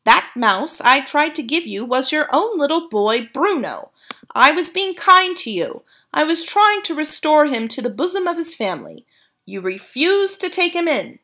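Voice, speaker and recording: A woman voicing an elderly grandmother who speaks calmly and steadily. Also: high voice, pitched above the performer's own voice, full character voice. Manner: calm, measured, unflustered. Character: elderly Norwegian grandmother, calm and dry.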